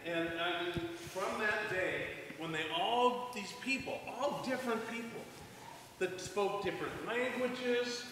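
An older man, a pastor, chatting informally and haltingly during a children's story in a large church sanctuary. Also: high-pitched. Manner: relaxed and halting, with many restarts. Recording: echoey church hall, distant mic, faint noise.

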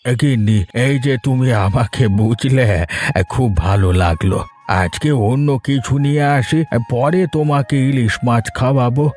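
A man's voice: medium pitch, moderate pace, a little mellow, faint music behind, close to an everyday voice. A man voicing a kindly middle-aged family man in a cartoon fairy tale, plain-spoken, familiar and firm but obliging. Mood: affectionate.

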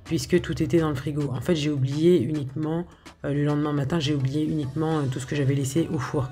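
A woman's voice: low-pitched, steady tone, fast talker, warm tone, soft background music. A woman talking casually over a video of her home cooking, hesitant, with lots of filler sounds and restarts. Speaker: home cook showing her recipes.

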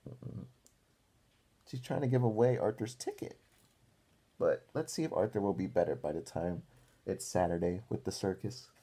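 A young man reading a picture book aloud to children like a teacher, pausing to ask questions. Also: on the low side, mellow, breathy edge. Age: young adult. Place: small room indoors.

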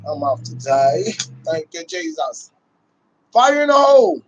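Man, high voice, casual sports livestream talk, slang, frequent swearing, repeated catchphrases, phone recording indoors. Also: big pitch swings, unhurried, dull audio, a little hiss or hum. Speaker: livestream host and Cowboys fan. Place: small room indoors. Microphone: phone at close range.

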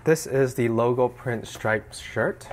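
A young man with a slightly hoarse voice from a cold, relaxed and explanatory as he narrates an unboxing at home. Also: medium pitch, slow, slight background noise. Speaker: fashion vlogger. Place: small room indoors at home.

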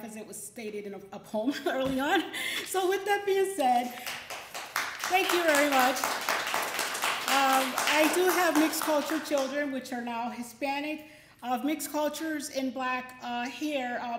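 High-voiced woman speaking in an echoey room. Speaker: poetry event host and poet. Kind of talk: reading aloud and introducing at a poetry event. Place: indoor library event room. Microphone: microphone on a stand. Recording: roomy, echoey event space.

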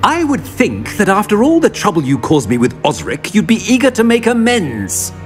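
A man's voice: high-pitched, wildly swooping pitch, moderate pace, faint music behind. An actor playing a haughty, imperious middle-aged headmaster in a video game, pompous and curt in his commands. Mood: imperious, scornful. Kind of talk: video game cutscene acting.

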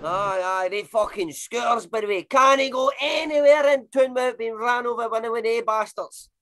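A man's voice: very high-pitched, normal speed, pushed and bright. A man with a Glaswegian accent playing a blunt, slangy working-class actor who swears through his banter in a comedy sketch. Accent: Glaswegian Scottish. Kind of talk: comedy audio sketch acting.

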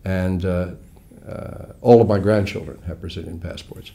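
An American man in his sixties, a guest author explaining things in a wry, measured way, recorded in a small studio on a lapel mic. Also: low voice, fairly even intonation, gravelly, warm tone. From United States. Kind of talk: relaxed interview talk. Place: small studio with red curtain.

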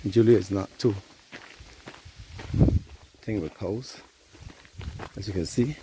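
A man's voice: fairly low pitch, takes it slowly, mellow, a little hiss or hum, poor audio. A Barbadian man narrating a casual walking vlog on rural cane field roads, chatting to viewers as "guys" while filming on a handheld phone. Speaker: vlogger. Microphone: handheld phone camera while walking.

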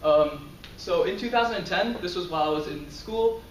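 A man's voice: high-pitched, normal speed, a little hiss or hum. A young man giving a casual class presentation about himself, with frequent ums and light chuckles. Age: young adult. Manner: casual, filler-heavy, chuckles often. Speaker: student presenter. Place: lecture room, in front of a projector screen. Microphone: far camera. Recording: roomy, distant camera audio.

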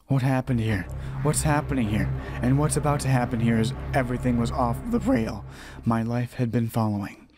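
A man's voice: medium pitch, normal speed, hardly any pauses, background noise. A man reading a horror visual novel aloud as a let's player, often restarting and repeating phrases as he narrates. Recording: music behind the voice. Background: game music.

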